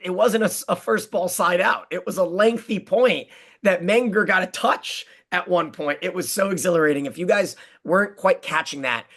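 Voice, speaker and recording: A man's voice: high-pitched, moderate pace, fairly bright tone. A man hosting a sports podcast, recapping matches with enthusiasm and plenty of statistics. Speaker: podcast host.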